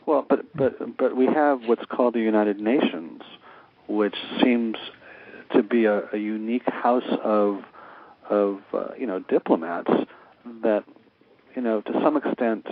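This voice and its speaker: Low-voiced man in narrowband interview audio. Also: measured pace, dry recording. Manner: rambling, repeatedly says you know. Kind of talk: conversational interview commentary. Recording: narrowband radio interview sound.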